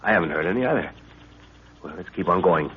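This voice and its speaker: Low, expressive grave professor on safari. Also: male voice, fast. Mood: worried, steady. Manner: musing, authoritative, old-fashioned theatrical. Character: learned professor on safari, grave, measured father. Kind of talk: 1930s radio drama acting. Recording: hissy, tinny 1930s radio recording.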